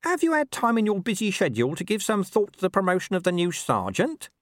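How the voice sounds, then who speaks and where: A man voicing a cheeky, servile low-ranking watchman in an audiobook, with a wheedling, over-polite manner. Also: wildly swooping pitch, fast, no room sound, clean studio sound. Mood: eager, wheedling. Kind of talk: audiobook character voice.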